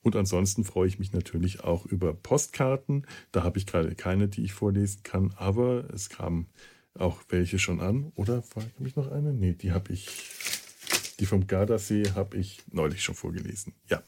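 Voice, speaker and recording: Gravelly-voiced man hosting a podcast. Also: deep voice, moderate pace, warm tone. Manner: rambling, stumbles over words and laughs at himself. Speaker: podcast host. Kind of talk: casual podcast chat.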